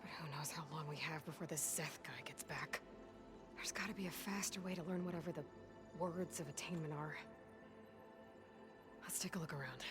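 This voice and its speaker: A woman's voice: low voice, normal speed, pauses often, over music, natural voice. A woman playing a determined, pragmatic young heroine in a video game, with a direct, dry way of talking. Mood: practical. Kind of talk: video game cutscene acting.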